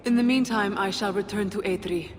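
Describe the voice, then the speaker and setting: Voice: mid-range voice, a little fast, lightly acted. A performer playing a cool, dry female fire nymph in a fantasy video game, speaking formally in clipped, wry phrases. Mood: cool, serious. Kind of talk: video game cutscene acting. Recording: long reverb, some background noise.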